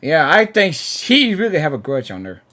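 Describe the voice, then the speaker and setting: A woman giving excited, casual commentary as a game reaction host, in a halting, repetitive way. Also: sits low.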